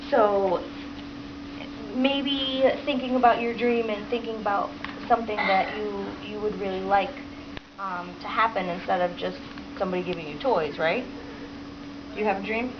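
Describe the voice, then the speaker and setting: A woman with a medium-pitched voice reads a picture book aloud to children. She is encouraging and often checks in with questions. The recording is dull, with clear background noise. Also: fairly even intonation, normal speed. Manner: encouraging, repeats and expands children's answers, frequent checking questions. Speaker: teacher modeling a read-aloud to children.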